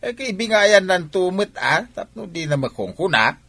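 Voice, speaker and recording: Crafty older father, animated, slightly husky. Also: sits high, moderate pace, dry recording, heavily acted. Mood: defensive, crafty. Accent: Ilocano. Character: crafty older father plotting with his daughter. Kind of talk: Ilocano radio drama acting.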